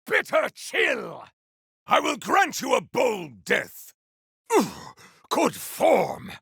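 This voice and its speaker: Game hero: grandiose, vengeful elemental warrior, very gravelly and forceful, theatrical archaic combat lines. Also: male voice, extremely high pitch, huge pitch swings, extremely slow, no echo, studio quality, exaggerated voice. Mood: fierce, bombastic. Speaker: voice actor for a video game character. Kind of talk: video game combat barks.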